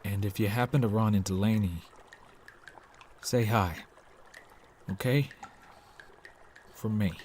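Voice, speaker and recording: A man's voice: fairly low pitch, takes it slowly, pauses often, darkish tone, slight background noise. A man acting a reluctant, caring leader of an underground shelter in an audio drama, hesitant and subdued. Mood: regretful.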